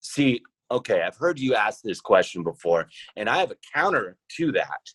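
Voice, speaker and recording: A man speaking as a podcast guest, thinking aloud deliberately in a conversational interview. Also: fairly low pitch, big pitch swings, fairly bright tone, slightly rough. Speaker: podcast guest, founder of a role-playing podcast.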